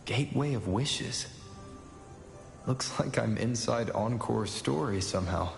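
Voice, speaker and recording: Gravelly, echoing young hero. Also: male voice, mid-range voice, a little slow, faint music behind. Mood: calm, musing. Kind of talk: video game character acting. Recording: long echoey hall reverb.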